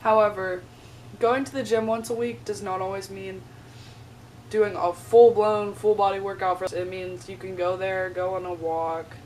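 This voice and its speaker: A young woman, a college student, talking casually in a vlog, a bit raspy from a sore throat and filling her speech with "like" and "um". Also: medium pitch, little pitch movement, moderate pace, a little mellow. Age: young adult. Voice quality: chatty, lots of "like" and "um". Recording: slightly distant mic with faint noise.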